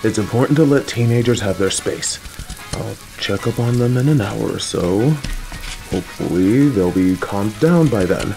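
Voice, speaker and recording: Man voicing a gentle worried dad. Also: on the low side, animated, normal speed, vocal fry, music in the background, rough recording. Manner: gentle, patient, anxious, rambling. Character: kind, worried, clueless middle-aged father. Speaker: voice actor. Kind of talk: audio roleplay for a listener.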